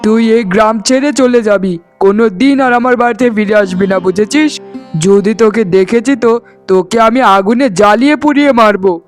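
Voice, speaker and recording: A man's voice: high voice, a little fast, faint music behind, close to an everyday voice. A man voicing a cruel, hot-headed husband in a cartoon melodrama, with an emphatic, scolding and boastful manner. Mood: angry, contemptuous. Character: cruel, hot-headed husband, doting on his new bride.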